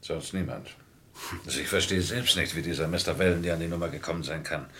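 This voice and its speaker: An actor playing a fatherly older employer, steady and reassuring, in a German radio crime drama. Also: low-pitched, quick pace, a little hiss or hum. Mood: puzzled, reassuring. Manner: avuncular, reassuring.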